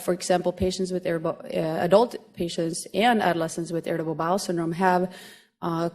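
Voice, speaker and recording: Woman, Swedish accent, from Finland, lower voice, medical lecture, explanatory, hesitant, room with audience. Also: creaky. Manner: explanatory, gently humorous, many hesitations. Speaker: grand rounds lecturer, pediatric gastroenterologist.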